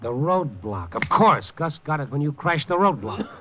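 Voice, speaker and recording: An actor playing a streetwise American newspaper reporter who acts and narrates in a 1950s radio crime drama, wry and brisk. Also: male voice, a little high, sing-song. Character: hard-boiled male newspaper reporter, wry, wary, streetwise. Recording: muffled old radio recording.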